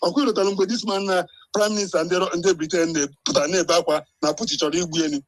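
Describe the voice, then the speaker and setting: A man's voice: sits high, fairly even intonation, racing, no echo. A Nigerian man joining a live stream as a guest, talking insistently and checking in with the host. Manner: insistent, keeps checking the listener with "eh?". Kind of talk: casual chat mixing Igbo and English.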